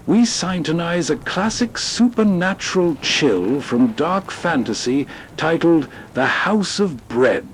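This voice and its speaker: A man hosting a nostalgic radio program, reading atmospheric scripted narration in a deliberate, evocative storytelling way. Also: a little high, a little hiss or hum, rough recording.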